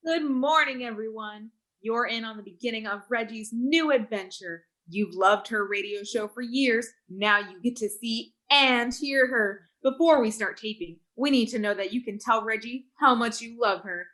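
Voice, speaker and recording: A woman playing a peppy, coaxing TV warm-up announcer in a staged play reading on a video call. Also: fairly high pitch, normal speed, low-quality recording.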